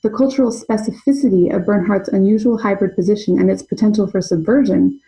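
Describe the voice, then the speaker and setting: A young adult woman presenting an academic paper in a measured, scholarly way. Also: mid-range voice, fairly even intonation, warm tone, rough recording. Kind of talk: reading a prepared conference paper.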